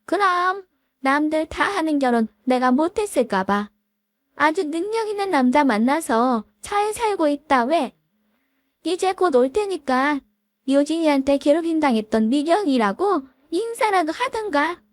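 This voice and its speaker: A woman's voice: sits high, expressive, unhurried, no room sound, pitched above the performer's own voice. A woman voicing an arrogant former school bully, a spiteful woman who taunts and scorns her old classmate. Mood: mocking.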